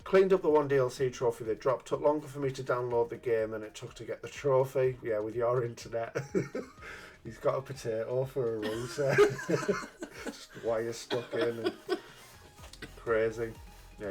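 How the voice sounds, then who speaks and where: A man's voice: mid-range voice, darkish tone. A man hosting a casual gaming review chat, cheeky and jokey, often laughing at his own innuendo. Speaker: gaming channel host.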